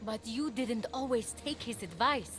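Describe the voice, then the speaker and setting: Casting: young mortal hero for animated game, earnest and encouraging, high voice. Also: female voice, normal speed, faint music behind. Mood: earnest, firm. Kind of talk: animated video game acting.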